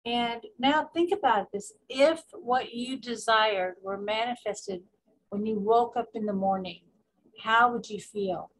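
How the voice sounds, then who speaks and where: A woman guiding a meditation as a spiritual coach, speaking deliberately in short phrases with pauses and repetition. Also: fairly high pitch, rough recording. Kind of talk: guided meditation with spoken affirmations.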